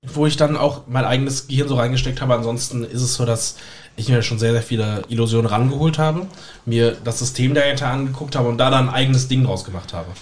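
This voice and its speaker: Male podcast guest, mid pitch, quick casual storytelling, faint noise with clicks. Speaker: guest, stage magician. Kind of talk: casual podcast chat, anecdotes.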